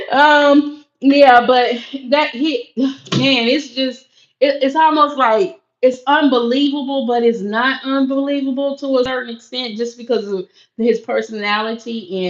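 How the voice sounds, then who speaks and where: Woman with a big projecting voice. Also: on the high side. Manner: projecting voice that carries; chatty, laughs often, reacts to viewer comments. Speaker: livestream host reading chat. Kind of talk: casual chat on a live stream. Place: small home room used for crafting and recording, sparse and echoey. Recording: echoey room, dull lo-fi sound.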